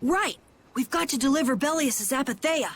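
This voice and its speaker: A woman voicing an earnest, excitable young boy adventurer in a video game. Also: high voice, moderate pace, a little hiss or hum. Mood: eager. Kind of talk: animated video game dubbing.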